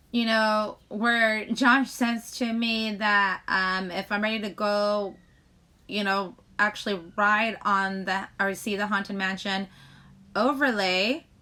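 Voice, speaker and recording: A woman hosting a casual live chat, rambling to viewers in a halting way, often breaking off mid-sentence. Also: medium pitch, bright, forceful tone. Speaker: live stream host chatting with viewers.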